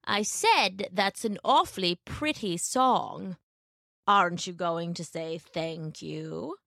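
Cunning male storybook wolf, performed by a woman: slow, insinuating, hungry, very close dry sound. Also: fairly low pitch, vocal fry, a bit bright, dry recording, heavily acted. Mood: sly, coaxing. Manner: slow, drawn-out, insinuating speech.

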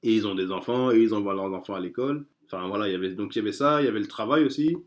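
Soft-spoken hesitant man, low voice. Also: racing. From France. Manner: hesitant, many fillers and restarts. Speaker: guest. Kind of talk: casual interview chat.

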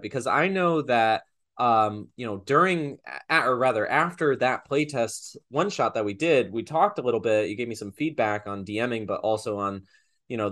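Bright, forward-sounding male host, casual and rambling. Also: mid-range voice. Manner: rambling, hesitant, many fillers. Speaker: podcast host and game master. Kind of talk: casual chat.

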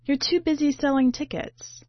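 A woman's voice: sits high, fairly even intonation, normal speed, voice pushed up, lightly acted. A woman voicing a brash young female ice cream seller with playfully hostile, dismissive speech in a read story. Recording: muffled, roomy home recording.

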